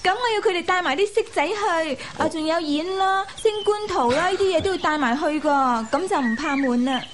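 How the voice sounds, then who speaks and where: A woman's voice: extremely high pitch, brisk. A woman acting a naive, playful young concubine in a Cantonese radio drama, coy and wheedling, with a Hong Kong accent. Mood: excited, playful. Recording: hissy radio drama with birdsong. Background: chirping birds.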